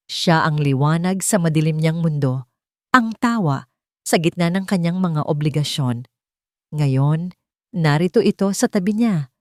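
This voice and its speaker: A woman narrating a scripted romance story in a soft, measured storytelling manner. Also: on the low side, dry recording, studio quality.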